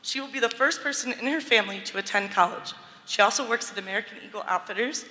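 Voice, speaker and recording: A woman's voice: a little high, quick pace, sharp tone. A woman presenting a scholarship and reading quotes from a student's essay in a large church. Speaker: awards presenter. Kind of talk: reading award citations. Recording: reverberant church hall.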